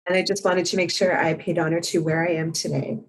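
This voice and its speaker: Woman, sign language interpreter, measured, steady, lowish pitch, distant mic on video call. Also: a little hiss or hum. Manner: measured, steady, spells names letter by letter. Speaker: sign language interpreter voicing a deaf panelist. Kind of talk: live spoken interpretation.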